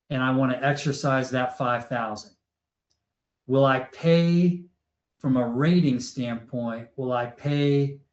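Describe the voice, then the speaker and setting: A man moderating an online panel discussion. He talks in a relaxed way, thinking aloud with fillers and repeats. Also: medium pitch. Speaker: webinar moderator. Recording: roomy, dull lo-fi audio.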